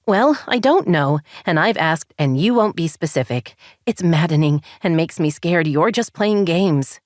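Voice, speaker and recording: A woman's voice: deep voice, no echo, studio quality. A woman voicing a hurt, frustrated married woman who presses her points insistently. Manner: heated, insistent.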